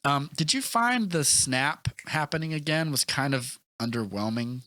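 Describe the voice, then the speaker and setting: A man co-hosting a casual movie podcast, retelling scenes in an animated way and acting out quotes as he goes. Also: on the high side, normal speed, no room sound. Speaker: podcast co-host.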